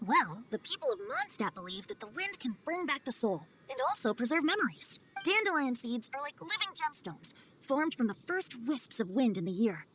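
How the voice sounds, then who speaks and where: Woman voicing a bright, theatrical boyish bard. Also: sits high, sing-song, moderate pace, slight background noise, rough recording. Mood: storytelling. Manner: flowery, theatrical storytelling. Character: whimsical, theatrical boyish male bard, breezy and playful. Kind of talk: animated video game voice acting.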